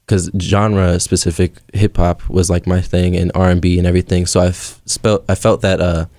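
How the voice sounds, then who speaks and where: An American man in his twenties speaks in a low, relaxed voice with little melodic movement. He is a calm, laid-back interview guest who chats in casual slang. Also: moderate pace, dry recording, clean studio sound. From Arizona, USA. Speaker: interview guest, audio engineer. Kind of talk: casual chat.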